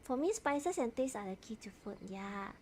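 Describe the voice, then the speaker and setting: A woman's voice: fairly high pitch, animated, moderate pace, darkish tone, slight background noise. A woman with a Malaysian accent chatting casually as a game livestream host, playful, repeating words and dropping in local particles. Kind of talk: casual livestream chat while gaming. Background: music.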